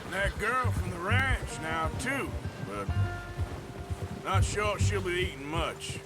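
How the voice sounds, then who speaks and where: Weary american cowboy outlaw for a western game, folksy drawl, highish voice, loud music behind. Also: male voice, expressive, takes it slowly. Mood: weary, matter-of-fact. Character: rugged male Western outlaw, plain-spoken and world-weary. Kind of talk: video game dialogue acting. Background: loud background music.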